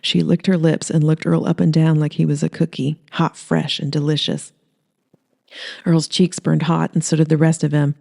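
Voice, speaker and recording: A woman's voice: low voice, level tone, moderate pace, vocal fry, warm tone. A woman reading scripted fiction aloud as a storyteller. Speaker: author reading aloud, host.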